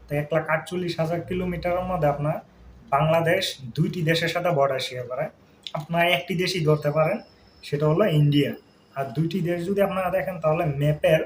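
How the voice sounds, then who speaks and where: Guy doing a youtube explainer, kinda high voice, roomy echo, bit of noise, chatty w english words mixed in. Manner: conversational, peppers speech with English words and check-in tags. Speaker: YouTube host. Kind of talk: informal explainer narration. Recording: echoey room, faint background noise.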